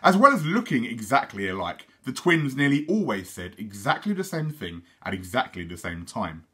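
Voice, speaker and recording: A British man reads a children's story aloud like a teacher addressing a class. His pitch is animated and varied. The tone is bright and forceful, with a husky edge. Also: moderate pace. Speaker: teacher reading aloud to schoolchildren.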